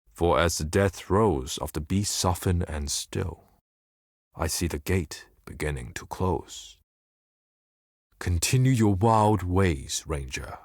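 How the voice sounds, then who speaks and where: A male actor playing a solemn, sardonic mystic in a video game, speaking in measured, wry, theatrical phrases that drop into hushed tones. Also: deep voice, no room sound, studio quality, exaggerated voice. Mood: solemn, urgent. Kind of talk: scripted game character dialogue.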